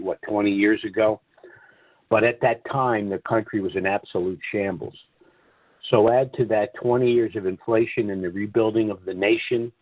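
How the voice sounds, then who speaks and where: A man giving an informal, opinionated monologue on a conference call, speaking emphatically and deliberately, repeating phrases for weight. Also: lowish voice, no echo. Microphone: conference call line. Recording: dull, dry, lo-fi conference call audio.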